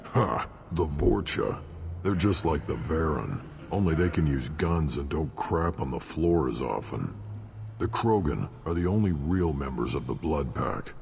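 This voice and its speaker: An actor playing a surly, blunt alien warrior in a video game, gruff and dismissive, with a deep gravelly voice. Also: male voice, lively intonation, unhurried, a little mellow, full character voice. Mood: sardonic. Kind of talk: video game character acting. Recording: long reverb, clear background noise.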